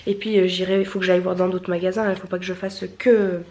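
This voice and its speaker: A woman's voice: fairly low pitch, flat delivery, fast, warm tone, slight background noise. A young woman with a stuffy nose from a cold, chatting casually in a vlog, rambling with many fillers and restarts. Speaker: vlogger. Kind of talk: casual vlog chat to camera.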